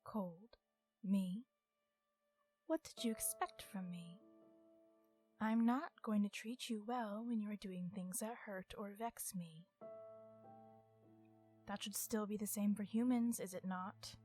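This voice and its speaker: Woman acting a slow, haughty ancient female vampire. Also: mid-range voice, soft background music, close to an everyday voice. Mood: stern, composed. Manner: haughty, measured, formal phrasing, often hushed. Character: centuries-old female vampire, stern, irritated, possessive, coolly refined. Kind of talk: ASMR roleplay monologue.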